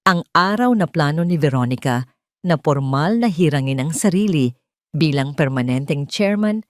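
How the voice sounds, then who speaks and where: A woman with a Filipino accent reads a dramatic story as its narrator. Her voice sits a little low. The sound is dry, with hardly any room around it. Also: lively intonation, normal speed, no echo.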